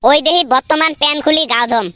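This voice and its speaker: A man voicing a cheeky, grumbling village lad in a comedy cartoon, teasing in a northern Bengali Rangpur accent through a pitched-up filter. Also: quick pace, noisy background. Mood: complaining. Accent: northern Bengali (Rangpur region). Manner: teasing, colloquial banter.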